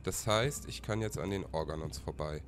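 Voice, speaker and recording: Low-voiced man in his thirties, chatty gameplay commentary, a bit stuffy from a cold. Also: normal speed, a little hiss or hum. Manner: chatty, talks to viewers. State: has a cold. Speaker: let's player. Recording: voice over game music.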